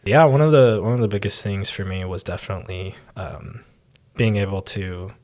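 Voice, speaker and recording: A young man who grew up abroad, answering interview questions as a church intern, with many pauses and restarts. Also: lowish voice. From raised in Taiwan, born in the United States. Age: young adult. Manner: hesitant, many ums and restarts.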